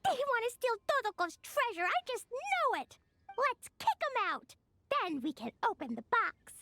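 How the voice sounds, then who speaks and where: A woman's voice: extremely high pitch, big pitch swings, moderate pace, vocal fry, pushed and bright, no echo, soft background music, heavily acted. A woman voicing a small, sweet, eager and mischievous little girl in a video game, with an earnest childlike manner. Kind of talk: animated video game voice acting.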